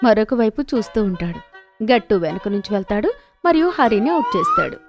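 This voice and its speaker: Woman narrating over clear background music. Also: on the high side, wildly swooping pitch, fairly quick. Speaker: storyteller, narrator. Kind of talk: scripted children's story narration.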